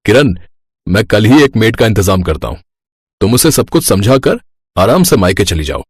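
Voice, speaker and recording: A man voicing a busy young husband in an animated story, casual, evasive and a little hesitant. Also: fairly low pitch, normal speed, breathy edge, no room sound, clean studio sound. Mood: reasonable. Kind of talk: animated moral-story cartoon voice acting.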